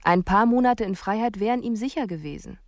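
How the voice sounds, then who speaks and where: An actress playing a sceptical police investigator, narrating a reflective, questioning inner monologue in a radio drama. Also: female voice, mid-range voice, animated, a little fast, no echo, clean studio sound, lightly acted. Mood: brooding.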